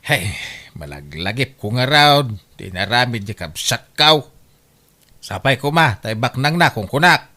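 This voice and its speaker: An actor plays a grumbling, reluctant grown child in an Ilocano radio comedy drama. The pitch swings widely as the voice talks. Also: very animated, measured pace, bright, forceful tone. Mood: subdued.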